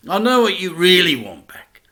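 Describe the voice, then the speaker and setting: A man voicing an excitable, working-class middle-aged dad who laughs and talks loudly. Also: high-pitched, measured pace, pitched above the performer's own voice, full character voice. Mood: boisterous. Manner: laughing, loud and boisterous.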